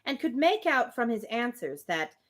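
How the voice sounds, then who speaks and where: A woman reading a classic novel aloud on a livestream in an expressive, informal manner, ready to break off for casual asides. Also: on the high side, moderate pace. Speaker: reader hosting a livestream reading.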